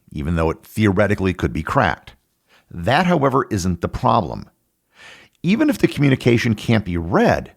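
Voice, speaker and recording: A man hosting a podcast, reading a scripted educational narration in a measured, explanatory way with emphatic phrasing. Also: on the low side, gravelly. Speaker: podcast host.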